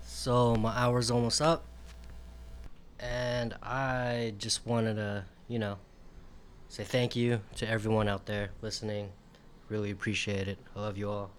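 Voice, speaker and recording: A man hosting a radio show, chatting casually in a laid-back, slangy way with hesitant pauses. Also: lowish voice, level tone, a little hiss or hum. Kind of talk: casual radio sign-off chat.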